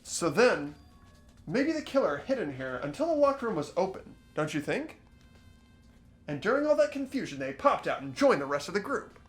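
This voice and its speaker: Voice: medium pitch, big pitch swings, normal speed, soft background music, close to an everyday voice. An amateur actor voicing an earnest, puzzled teenage boy student detective from a mystery game, hesitant and questioning. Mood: surprised. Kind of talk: amateur fan voice acting of a game script.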